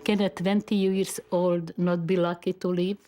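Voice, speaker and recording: An elderly woman in her nineties from Slovakia, with a Slovak accent, giving personal testimony in measured, halting phrases. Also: fairly low pitch, little pitch movement, warm tone, soft background music. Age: 90s. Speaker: Holocaust survivor and memoirist. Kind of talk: personal testimony, partly read.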